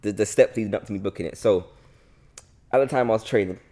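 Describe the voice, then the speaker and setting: A man's voice: fairly low pitch, fast talker, mellow. A man in his twenties with a London accent, chatting informally and playfully as a podcast host. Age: 20s. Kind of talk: casual chat. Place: bright living room, on a sofa. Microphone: podcast mic on a boom arm.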